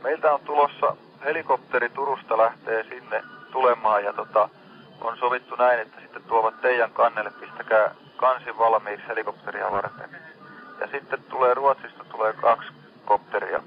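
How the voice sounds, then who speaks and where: A man's voice: medium pitch, fairly even intonation, creaky. A man with a Finnish accent, speaking as a maritime rescue center operator in radio dispatch style. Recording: dull band-limited radio channel.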